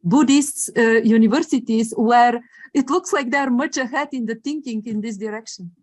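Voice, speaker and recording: A woman's voice: on the high side, moderate pace, a little mellow. A woman making spontaneous remarks as a participant in a group discussion. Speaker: session participant.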